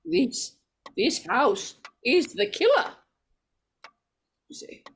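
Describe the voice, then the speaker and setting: A woman's voice: high voice, huge pitch swings, drawn-out words, husky, full character voice. A woman playing a nervous, superstitious, insistent lady in an old-time radio horror play, stammering and repeating herself.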